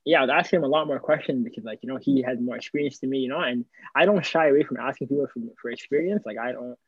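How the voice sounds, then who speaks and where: Man, podcast co-host, rapid casual talk, fillers, dry dead recording. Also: medium pitch, slightly rough, no room sound, highs cut off. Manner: quick and loose, lots of "like" and "you know". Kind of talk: casual chat.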